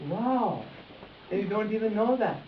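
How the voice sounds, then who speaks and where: A man with a slightly high voice leads a live hands-on demonstration, speaking directly to volunteers and repeating his points. He is picked up from a distance in an echoey room, with a dull, faintly hissy sound. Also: lively intonation, a little slow. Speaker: face reading teacher.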